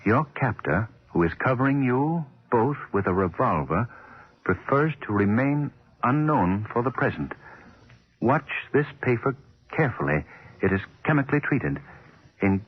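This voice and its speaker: An actor playing a resolute male sleuth in 1940s radio drama, speaking in a commanding, deliberate, articulate way. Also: mid-range voice, big pitch swings, slightly rough. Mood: tense, measured. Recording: dull 1940s radio recording.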